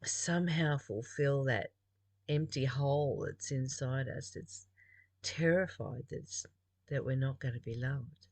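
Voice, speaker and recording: Speaker from Australia, slow delivery, earnest, deliberate, reading aloud with reflective asides, dry sound. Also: mid-range voice, no echo. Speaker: host reading and commenting on a spiritual text.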